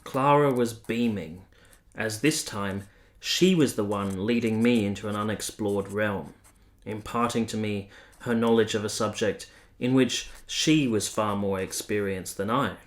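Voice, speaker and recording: A man playing a devoted army captain in a period radio play, speaking in a measured, articulate, reflective way. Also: fairly low pitch, close to an everyday voice. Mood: wistful, fond. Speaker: narrator and lead character. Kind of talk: radio play acting with reflective scripted narration.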